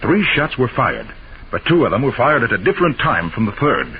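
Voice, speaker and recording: An actor playing a shrewd, confident master detective with an assured, emphatic delivery in an old-time radio drama. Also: male voice, medium pitch, big pitch swings, brisk. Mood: confident, explaining. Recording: noisy, muffled old radio broadcast.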